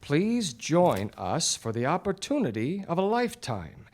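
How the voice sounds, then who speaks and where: An actor playing a self-assured, accusing 1940s gumshoe detective in a live radio play, with a declamatory delivery. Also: male voice, a little high, wildly swooping pitch, measured pace, darkish tone, exaggerated voice. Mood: reading aloud. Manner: theatrical, declamatory. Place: theatre stage before a live audience.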